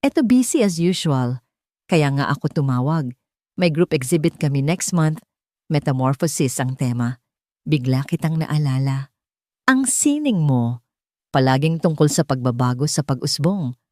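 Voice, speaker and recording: A woman's voice: deep voice, lively intonation, measured pace, a little mellow, no room sound, studio quality. A woman voicing a cheerful, persuasive woman gallery owner in an audiobook. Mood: breezy, coaxing. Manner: breezy, coaxing.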